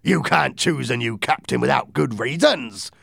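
A man's voice: medium pitch, animated, moderate pace, vocal fry, a bit bright, slightly rough, no room sound, studio quality, pitched above the performer's own voice, heavily acted. A man voicing a wily, commanding pirate leader in a storybook reading. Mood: indignant, commanding.

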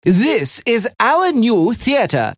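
Storybook stage actor, proud and showy, slow, sing-song pitch, voiced by a woman. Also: big pitch swings, no room sound, studio quality, full character voice. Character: cheerful theatrical man, proud actor.

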